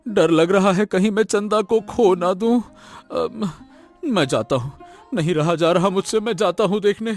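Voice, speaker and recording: An anxious village husband acted by a man in a cartoon moral tale. His voice sits fairly high for a man and frets in regretful laments, with music underneath. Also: a little slow. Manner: lamenting, repeating words anxiously. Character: worried, regretful husband, a poor village woodcutter. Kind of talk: cartoon moral story acting.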